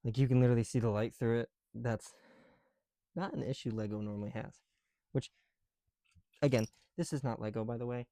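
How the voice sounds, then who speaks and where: A man chatting casually in an ASMR style as a video creator, muttering softly and sometimes dropping into a whisper, with mild swearing. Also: mid-range voice, fairly even intonation, fairly quick, long pauses. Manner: casual, mildly profane. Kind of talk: ASMR, casual chat while building with bricks.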